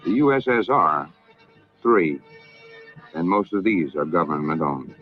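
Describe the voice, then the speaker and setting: An American man narrating a scripted 1960s public information film in a formal, measured, emphatic manner. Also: deep voice, lively intonation. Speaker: documentary narrator. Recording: narrow-band vintage film soundtrack, music behind.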